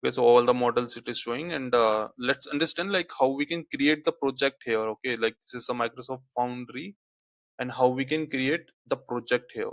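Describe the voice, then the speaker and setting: A man whose first language is Hindi, walking through a software tutorial on screen, peppering his speech with "okay, like" fillers. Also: medium pitch, moderate pace, no room sound. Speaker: tutorial instructor.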